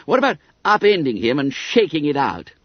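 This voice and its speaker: A hearty schoolboy from a storybook, played by a male reader, indignant and accusing. The voice is pitched up and very animated, with a brisk, boisterous attack. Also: no echo, lo-fi sound.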